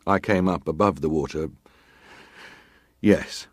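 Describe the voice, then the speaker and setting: A low, deep male voice in a dry, close recording. a stoic ship's officer testifying in a dramatized hearing. He speaks formally and plainly, in clipped, factual phrases. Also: takes it slowly, no echo, heavily acted. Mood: grave recounting. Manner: clipped, matter-of-fact, formal. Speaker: actor reading testimony.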